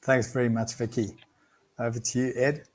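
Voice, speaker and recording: A man's voice: mid-range voice, little pitch movement, moderate pace. Man hosting a business webinar. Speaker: webinar host, firm representative.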